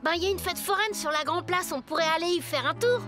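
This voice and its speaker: A very high, eager kid's voice from a cartoon. The child is a supportive best pal, heard over clear music. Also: female voice, fast talker. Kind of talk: French animated cartoon acting. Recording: music behind the voice.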